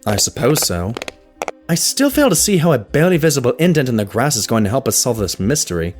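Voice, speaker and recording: A man's voice: medium pitch, very animated, a little fast, lightly acted. A man playing a sensible, skeptical pony sidekick in a cartoon fan drama, exasperated and questioning. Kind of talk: animated fan drama acting. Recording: music under the voice.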